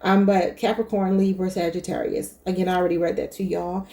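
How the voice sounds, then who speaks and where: Chatty woman with a mellow voice. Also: on the low side, flat delivery, a little fast. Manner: chatty, thinking aloud, addresses viewers as y'all. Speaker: tarot reader. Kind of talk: casual tarot reading to camera.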